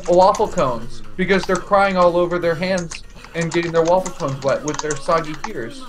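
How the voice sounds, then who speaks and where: A man's voice: a little high, normal speed. A young man casting esports, cracking silly jokes and laughing through casual banter on a headset. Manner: playful, jokey, laughs often. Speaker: esports caster. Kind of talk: casual chat between casters.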